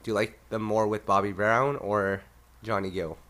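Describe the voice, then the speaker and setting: Congested, nasal male podcast host, lowish voice. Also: flat delivery, normal speed, a bit bright. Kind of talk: casual music podcast chat.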